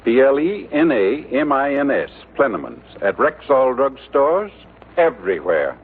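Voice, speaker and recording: A man's voice: medium pitch, sing-song, a little slow. A man announcing a scripted commercial in mid-century radio style. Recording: tinny old radio recording with hiss.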